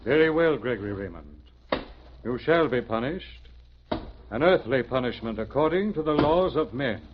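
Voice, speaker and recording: A noisy, dull vintage radio recording. A male actor plays a grave, commanding man, an ominous guide who is really a lawman. He speaks in a measured, authoritative way at medium pitch. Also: animated, lightly acted. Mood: solemn, ominous. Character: solemn, stern otherworldly guide, secretly a homicide lieutenant. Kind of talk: 1950s radio drama acting.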